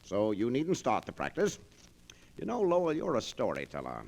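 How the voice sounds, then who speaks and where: A man's voice: mid-range voice, huge pitch swings, normal speed, creaky, darkish tone. An American man hosting and announcing in the manner of 1940s radio.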